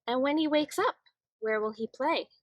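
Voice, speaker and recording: A woman reading aloud as a practical mother gently questioning her little boy in a children's picture book. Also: sits high, normal speed, dry recording. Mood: doubtful, questioning.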